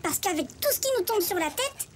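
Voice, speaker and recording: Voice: extremely high pitch, animated, brisk. A performer voicing a small, busy honeybee that chatters and complains in a children's educational cartoon. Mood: grumbling. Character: small busy honeybee, grumbling about dangers and workload. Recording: loud music behind.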